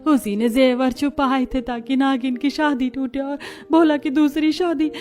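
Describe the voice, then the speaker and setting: Woman as a high-voiced, sly old aunt. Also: steady tone, measured pace, darkish tone. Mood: cornered. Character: sly, venomous old aunt, scheming accomplice. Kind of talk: dramatized moral storytelling.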